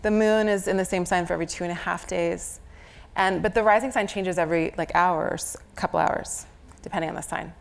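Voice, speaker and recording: A woman's voice: lowish voice, steady tone, brisk, vocal fry. A woman with a slight head cold, speaking conversationally on stage as an astrologer explaining a chart reading. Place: on stage at a live venue.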